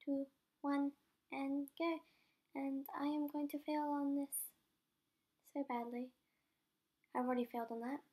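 A young woman with a cold and a croaky voice, chatting casually and mocking herself while playing a game as host of her channel, sometimes dropping to a whisper. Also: high voice, flat delivery, a little slow, long pauses, warm tone.

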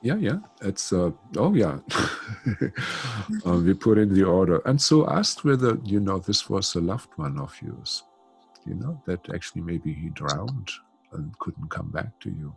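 A man's voice: sits low, expressive, a little slow, gravelly, breathy edge, faint music behind. An older man guiding a spiritual session over a video call on a headset, talking loosely with many fillers. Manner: chatty, warm-toned, many "you know" fillers. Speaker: spiritual healer. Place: home room.

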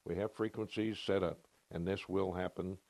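A man's voice: low-pitched, steady tone, moderate pace, creaky, a little mellow, no room sound. An older man giving plain, matter-of-fact technical answers in a TV news interview, speaking into a reporter's handheld mic. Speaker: ham radio operator interviewee. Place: home radio room, then parked truck.

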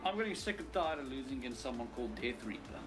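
A man's voice: medium pitch, sing-song, fast, music in the background. A man on voice chat joking and calling out during a match. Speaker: teammate on voice chat. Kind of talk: casual gaming callouts.

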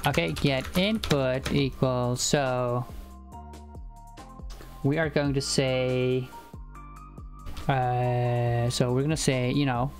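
A man's voice: medium pitch, steady tone, slow, pauses often, faint music behind. A young man whose first language is Icelandic, live-streaming programming and talking it through with many fillers and restarts, on a headset mic at home. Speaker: programming livestreamer. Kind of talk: casual live coding chat. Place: home room, indoors.

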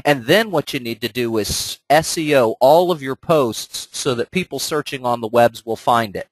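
A man's voice: medium pitch, moderate pace, no echo, low-quality recording. A man with a stuffed-up nose from a cold, presenting a training webinar in an earnest, conversational way, speaking directly to his listeners.

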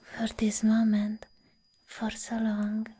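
A young woman performing a hushed, whispered ASMR roleplay as a sweetly possessive living doll, pausing deliberately, close to the microphone. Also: mid-range voice, completely flat delivery. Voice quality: breathy whisper. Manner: slow, hushed, deliberate, with long pauses.

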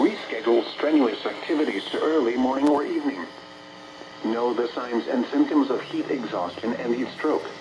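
An American man's synthetic, measured announcer voice reading an automated weather forecast, played over a radio. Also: medium pitch, dull audio. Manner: flat, synthetic, measured delivery. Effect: radio broadcast. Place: played from a portable radio indoors. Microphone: camera or phone near the radio. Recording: noisy radio speaker picked up in room.

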